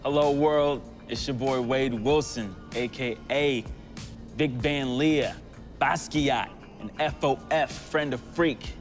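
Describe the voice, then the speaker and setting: A man from California introducing himself in a casual shout-out to viewers. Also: mid-range voice, slow pace. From California, United States. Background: music.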